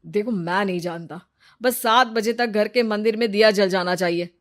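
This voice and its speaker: Cartoon husband, played by a woman: mid pitch, bossy, demanding, fussy, forever scolding. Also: normal speed, lightly acted. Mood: nagging. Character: bossy, nagging husband who scolds like a mother-in-law.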